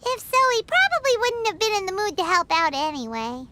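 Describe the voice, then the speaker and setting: A woman voicing a tiny, childlike fairy girl companion in an anime game, excitable, whiny and pushy, with a squeaky voice. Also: a little fast, heavily acted. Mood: curious. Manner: speaks of herself in third person, excitable. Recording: loud music behind the voice.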